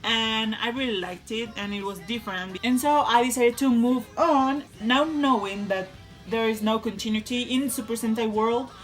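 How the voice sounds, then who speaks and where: A woman's voice: on the high side, fairly bright tone. Chatty young woman. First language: Spanish. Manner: chatty and enthusiastic, with many "like" and "uh" fillers. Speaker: vlogger. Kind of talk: casual fan vlog.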